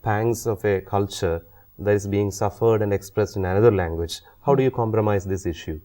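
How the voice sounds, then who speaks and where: A man from India asks an author questions in an interview. He speaks haltingly and often repeats a word while he forms a question. His voice sits somewhat low. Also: warm tone.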